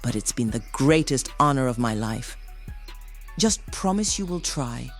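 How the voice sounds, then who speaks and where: Motherly female tribe elder acted by a woman, unhurried, widely swooping pitch, earnest dramatic fantasy delivery. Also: lively intonation, soft background music, full character voice. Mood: earnest, pained. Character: solemn, motherly wife of a werewolf tribe chief.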